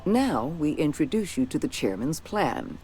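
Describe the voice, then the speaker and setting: Game role: cold, clipped board official, lively in pitch. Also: male voice, a little slow, soft background music. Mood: cold formal. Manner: clipped, coldly formal and approving. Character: cold formal male corporate board official.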